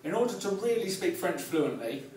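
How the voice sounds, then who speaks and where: Echoey, higher-pitched southern English stand-up comic, male. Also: sing-song, moderate pace, a little mellow. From Kent, England. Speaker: stand-up comedian. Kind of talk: live stand-up comedy. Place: live comedy night in a pub venue. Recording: echoey club, distant mic, audience noise. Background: audience laughter.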